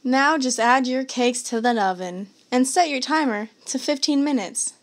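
A young adult woman giving a casual, comedic cooking tutorial in a home kitchen, with playful asides and drawn-out words. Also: sits high. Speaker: host of a home cooking video. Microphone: camera on counter at about arm's length. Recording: background kitchen noise, clicks.

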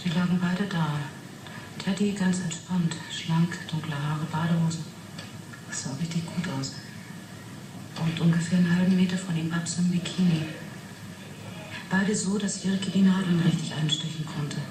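An actress playing a matter-of-fact yet fascinated witness in a German radio play, halting and reflective. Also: female voice, fairly low pitch, fairly even intonation, lightly acted. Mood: recounting, thoughtful. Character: young woman witness recounting an uncanny experiment, matter-of-fact yet fascinated. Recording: roomy 1980s radio play, noisy background.

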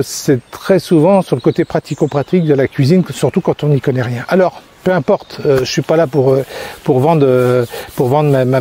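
A man's voice: a little high, warm tone. Man in his sixties vlogging outdoors. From France. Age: 60s. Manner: rambling, hesitant, frequent fillers and restarts. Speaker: crêpe-making trainer. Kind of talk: casual vlog monologue. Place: park outdoors. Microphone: phone at arm's length, selfie framing.

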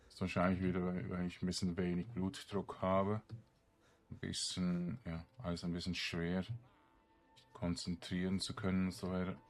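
A man giving casual, halting game commentary, rambling as he thinks aloud. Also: low voice, completely flat delivery. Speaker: let's player. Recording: game music behind the voice. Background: video game music.

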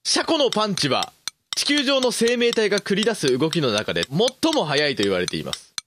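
A man's voice: sits high, wildly swooping pitch, a little fast, background noise. A man of about thirty co-hosting a radio show with casual, teasing banter. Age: around 30. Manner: teasing, joking. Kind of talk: casual comic banter on a podcast.

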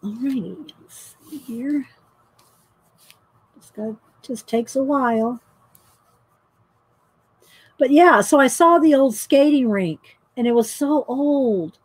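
A woman's voice: high-pitched, slow, long pauses, slightly rough, low-quality recording. A middle-aged woman, chatting and laughing often as she tells a story while painting. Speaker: painting vlogger. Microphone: overhead camera mic, speaker off screen.

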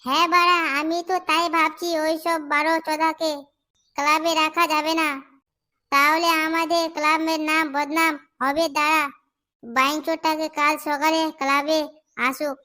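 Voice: high-pitched, little pitch movement, moderate pace, pushed and bright. A performer voicing a hot-headed young male club leader in a comedy cartoon, brash, bossy and crude. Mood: indignant.

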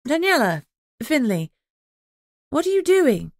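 A woman's voice: high voice, big pitch swings, measured pace, dry recording, studio quality, voice pushed up, full character voice. Woman as a bossy young female alpha werewolf. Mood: curt, firm.

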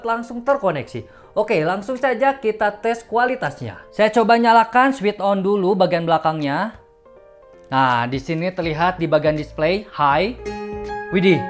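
A man in his thirties giving an enthusiastic, casual product review as a gadget reviewer. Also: sits high. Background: background music.

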